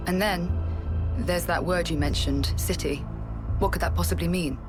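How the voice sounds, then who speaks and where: An actor playing a calm, authoritative colony leader in a video game, speaking in a measured, formal and explanatory way. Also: male voice, high voice, over music, close to an everyday voice. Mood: composed, grave. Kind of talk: scripted video game cutscene acting.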